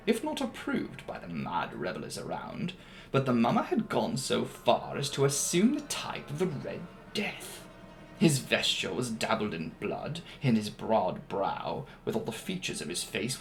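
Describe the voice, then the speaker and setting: A man reads a literary horror tale in a solemn, theatrical manner. His mid-pitched voice moves widely in intonation and carries a gravelly creak. Music plays clearly behind his emphatic narration. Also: poor audio. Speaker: audiobook narrator. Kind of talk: dramatic literary horror story reading.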